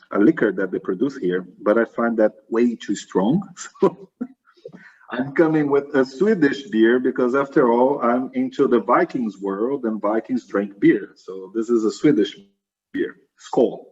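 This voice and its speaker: A middle-aged Brazilian man who speaks Portuguese natively, talking as a guest scientist in a friendly, self-deprecating way with many pauses. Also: mid-range voice, darkish tone, husky, highs cut off. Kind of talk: relaxed conversational interview. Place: home room in Sweden.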